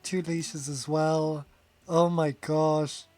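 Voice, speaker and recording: Slow, giggly young-sounding male streamer. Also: fairly high pitch, little pitch movement, darkish tone, dry recording. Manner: rambling, giggly, reads chat aloud. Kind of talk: casual live-stream chat while gaming.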